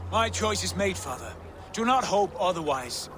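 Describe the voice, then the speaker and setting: A man's voice: high-pitched, big pitch swings, normal speed, noisy background. A man playing a proud, ambitious Viking prince in a game cutscene, speaking in solemn, declamatory lines. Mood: bitter, resolute. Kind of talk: dramatic game cutscene acting. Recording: orchestral music behind the voice.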